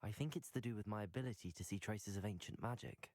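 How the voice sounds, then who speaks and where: Low-voiced, earnest young wizard student acted by a man. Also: fast talker, darkish tone, dry recording, clean studio sound, close to an everyday voice. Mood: earnest, guarded. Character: thoughtful, discreet teenage male wizard student. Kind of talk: video game cutscene acting.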